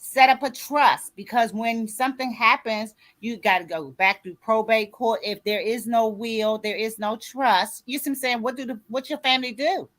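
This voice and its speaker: A woman's voice: fairly high pitch, moderate pace, few pauses, fairly bright tone. A woman in her sixties hosting a conversational radio show, talking in an emphatic, exhorting way and often checking that listeners follow. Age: 60s. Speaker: host and author mentoring co-authors. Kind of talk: motivational conversational radio talk.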